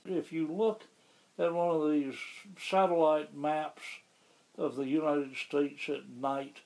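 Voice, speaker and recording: A man being interviewed about the town where he lives, explaining things in a measured, conversational way. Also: on the high side, steady tone, creaky, a little mellow, rough recording. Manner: measured, explanatory, with occasional restarts. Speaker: interviewee, local resident.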